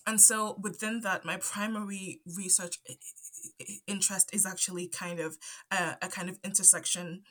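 A woman's voice: mid-range voice, fairly even intonation, normal speed, sharp tone. A woman in her twenties from Zambia with a stutter, answering interview questions hesitantly with many fillers and restarts. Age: 20s. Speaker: guest, anthropology PhD student.

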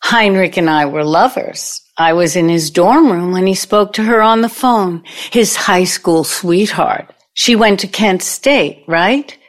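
A woman's voice: on the low side, measured pace, few pauses, close to an everyday voice. A woman playing a brash, nostalgic, scheming older former girlfriend in an audio play, with a knowing, theatrical manner. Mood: wistful, sly.

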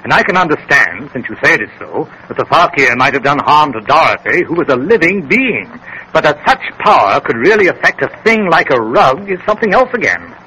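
Animated, curious skeptic, old radio. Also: male voice, a little high, fairly quick. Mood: doubtful. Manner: questioning, polite, hesitant. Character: curious, skeptical male listener to a colonel's tale. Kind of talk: 1930s radio drama acting. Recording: noisy 1930s radio recording.

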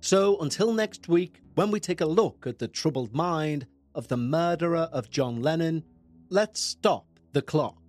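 A man's voice: on the high side, darkish tone. Liverpool man narrating true crime. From England. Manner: dramatic, measured delivery. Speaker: podcast host.

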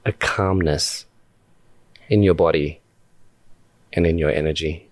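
Guy doing guided meditation, deep voice, calm n deliberate, lots of pauses, really dry close sound. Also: dry recording. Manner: calm, deliberate, with long pauses between short phrases. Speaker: meditation guide and podcast host.

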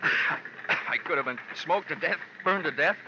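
A man's voice: on the high side, unhurried, very noisy, lightly acted. A man acting a wry, hard-boiled insurance investigator in 1940s radio detective style, with clipped wisecracking delivery. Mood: tense narration. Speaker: lead actor and narrator. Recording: dull vintage radio recording, music behind.

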